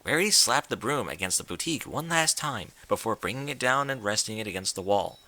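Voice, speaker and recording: A man's voice: lowish voice, animated, fairly quick, pushed and bright. A man reading scripted fiction as an audiobook narrator.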